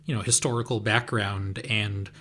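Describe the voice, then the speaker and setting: A man co-hosting a podcast, talking casually about games in a relaxed, hedging way. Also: fairly low pitch, normal speed, a little hiss or hum. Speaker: podcast co-host. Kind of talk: casual chat.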